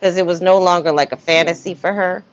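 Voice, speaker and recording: A woman hosting a casual live stream, commenting on messages in a blunt, slangy, animated way. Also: on the low side, fast talker. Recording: dull, lo-fi stream audio.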